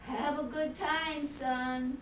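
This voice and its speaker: An older woman playing a tired, gentle, principled father in an amateur radio play, measured and earnest. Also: high-pitched, fairly even intonation. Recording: echoey, distant room, faint noise.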